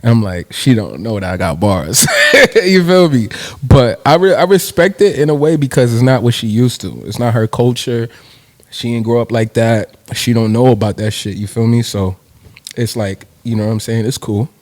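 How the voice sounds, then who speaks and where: A man's voice: mid-range voice, normal speed, no room sound. A young man from New Jersey, a rapper, chatting casually as an interview guest with slang and swearing. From New Jersey, USA. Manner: laid-back slangy, swears freely, laughs.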